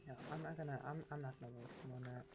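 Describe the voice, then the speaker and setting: A woman's voice: very deep voice, fairly even intonation, racing, noisy background. A woman streaming a game with casual, playful commentary, often muttering to herself. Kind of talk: casual live gameplay commentary. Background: game music.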